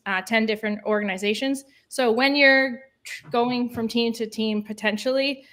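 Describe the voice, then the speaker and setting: A woman in her thirties with a Maine accent chats as a guest on a live video call. Her voice sits a little high and sounds bright and forceful. She rambles cheerfully and laughs easily. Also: steady tone, normal speed. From Maine, USA. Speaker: guest, children's author.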